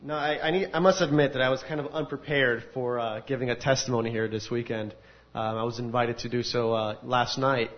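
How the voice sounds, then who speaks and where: A man of ordinary pitch gives a personal testimony to an audience in a large room. He tells his story earnestly and with animated energy. The recording has a dull, lo-fi sound. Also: a little fast, slight background noise. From United States. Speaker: speaker giving a testimony. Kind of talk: personal testimony at a faith gathering.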